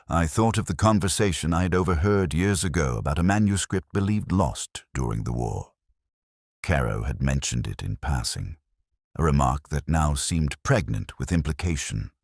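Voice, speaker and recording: Really deep male voice reading a detective story, thoughtful and measured, dry close sound. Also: no room sound. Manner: measured, contemplative, literary delivery. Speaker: narrator. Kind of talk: scripted first-person detective audiobook narration.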